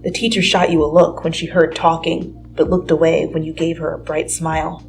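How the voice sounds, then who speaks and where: A woman's voice: deep voice, little pitch movement, a little fast, creaky, poor audio. A woman reading a story aloud as scripted, dramatic second-person narration. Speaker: fan fiction reader. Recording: music under the voice.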